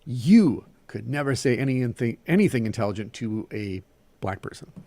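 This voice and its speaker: A man's voice: mid-range voice, animated, normal speed, dark tone, no echo. A man voicing a proud middle-aged Southern woman in a short story, seething, muttering and growling. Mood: curt contempt.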